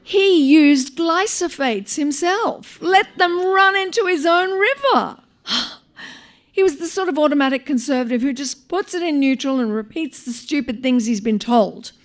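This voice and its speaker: An Australian woman reading a short story aloud live, in an expressive, wry manner with dramatic pauses. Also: high voice. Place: live on stage at a small venue.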